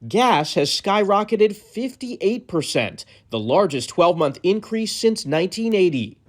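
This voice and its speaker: High, lively male news correspondent. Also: measured pace. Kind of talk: scripted news report voice-over.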